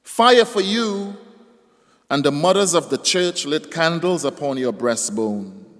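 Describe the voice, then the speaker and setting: Slow poet in a reverberant hall. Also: male voice, on the high side, lively intonation, a bit bright. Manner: slow, deliberate recitation that rises in force on the poems. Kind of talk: live poetry reading with casual stage banter. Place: live event venue. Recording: long reverb of a live hall.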